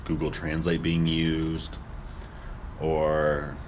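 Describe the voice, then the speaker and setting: A young man with a remarkably deep voice talks casually on a podcast. He questions things skeptically, and clear noise runs behind his voice. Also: monotone, very slow. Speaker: podcast co-host. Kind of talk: casual conspiracy discussion. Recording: noisy room recording.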